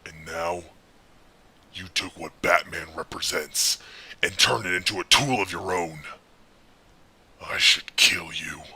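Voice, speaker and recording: A brooding, world-weary vigilante hero for an audio drama. The low voice is forceful and raspy, held nearly flat. Each phrase comes slowly and gravely. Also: male voice, heavily acted. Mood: bitter, anguished. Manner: slow, grave, intense.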